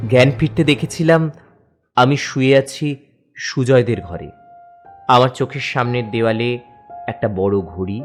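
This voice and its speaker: A man narrating a horror story in the first person, dramatic and suspenseful, halting in tense moments. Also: medium pitch.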